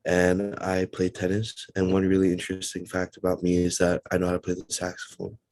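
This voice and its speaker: Flat, low-voiced young man. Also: a little fast, dry recording. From Philippines. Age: young adult. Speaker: student athlete panelist. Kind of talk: casual panel answers.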